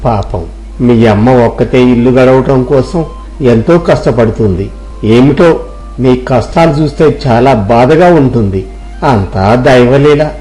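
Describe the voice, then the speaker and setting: Mellow, kindly village priest, acted by a man. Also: medium pitch, a little slow, soft background music, natural voice, rough recording. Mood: consoling. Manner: gentle, advising, addresses listener as child. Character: kindly, consoling village temple priest, fatherly elder. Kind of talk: storybook character dialogue.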